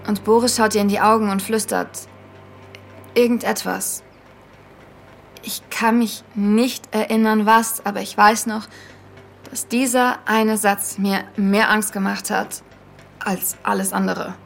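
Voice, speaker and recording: An actress playing an earnest young podcaster, speaking in a casual, slightly hesitant storytelling style. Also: female voice, mid-range voice, flat delivery, measured pace, creaky, pauses often, faint music behind. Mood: suspenseful. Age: young adult. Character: earnest young female podcaster haunted by a childhood memory. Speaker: podcast host and narrator. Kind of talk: conversational first-person audio drama storytelling.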